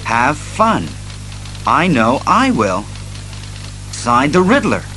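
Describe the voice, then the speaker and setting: An actor playing a serious, commanding masked detective hero, earnest and deliberate, in an audio drama. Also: male voice, mid-range voice, sing-song, pushed and bright, soft background music, full character voice. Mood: grave.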